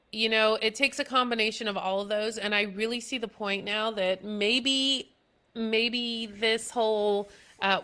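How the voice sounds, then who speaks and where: Nasal, bright-voiced woman chatting casually. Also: medium pitch, smooth. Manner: loose and chatty, many "you know" and "um" fillers. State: nasal from allergies. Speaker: military service member presenting school tips. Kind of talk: impromptu live video talk.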